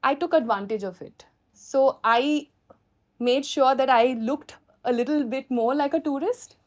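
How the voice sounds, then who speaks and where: A woman filmmaker with an Indian accent answers questions off the cuff in a high-pitched voice. She explains through animated anecdotes, recounting what people said and did. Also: measured pace. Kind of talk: spontaneous question-and-answer talk.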